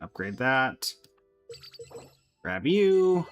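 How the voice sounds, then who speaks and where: A man's voice: medium pitch, sing-song, extremely slow, soft background music. A man streaming a video game, giving casual live commentary, greeting viewers and making playful asides to himself and the characters.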